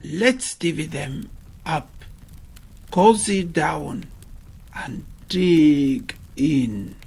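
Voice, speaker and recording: Lady doing a low gravelly storybook badger, friendly n hungry, draws every word out. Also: animated, drawn-out words, a little mellow, heavily acted. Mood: friendly, eager. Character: slow, low-voiced friendly badger with a hearty appetite.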